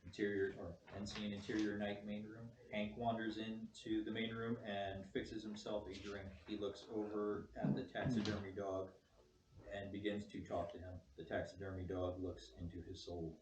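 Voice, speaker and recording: A man's voice: lowish voice, level tone, moderate pace. A man reading stage directions as scripted narration at a table read. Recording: echoey room, distant mic, clear noise.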